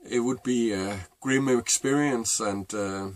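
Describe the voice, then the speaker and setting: A middle-aged man with a Danish accent, a native Danish speaker, telling a personal story to camera in a halting, reflective way. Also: medium pitch, no room sound. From Denmark. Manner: halting and reflective, with many fillers and restarts. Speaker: vlogger.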